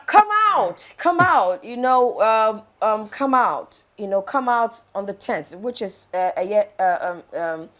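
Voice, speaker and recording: A woman with a Ghanaian accent hosting a casual interview in an informal, animated way, often repeating herself. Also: mid-range voice, a little slow, hardly any pauses. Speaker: morning show host interviewing a guest. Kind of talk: casual chat interview mixing English and a local language. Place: TV morning show studio.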